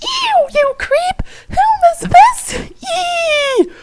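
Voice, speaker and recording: Very high squeaky cutesy troll girl. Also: lively intonation, extremely slow, heavy background noise, full character voice. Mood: disgusted. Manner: squealy, gushing, punctuated with little squeaks. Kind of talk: amateur dramatic reading of fan fiction.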